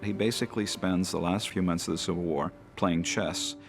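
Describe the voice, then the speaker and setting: A man with a gravelly voice, telling history as a historian in a documentary interview. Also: low voice, steady tone, moderate pace, over music. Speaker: biographer, historian.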